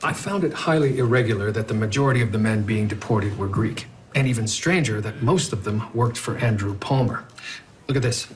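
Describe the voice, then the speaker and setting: An actor playing a methodical male police detective who questions people in a measured, probing way. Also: on the low side, a little hiss or hum, lightly acted, poor audio. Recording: TV drama with music behind.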